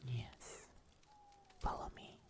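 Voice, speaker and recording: An ASMR performer playing a kindly huntsman healer in a whispered fantasy roleplay, soothing and breathy, with old-fashioned phrasing. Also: very slow. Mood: gentle, caring. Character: kindly fairy-tale huntsman healer, caring and gently wry.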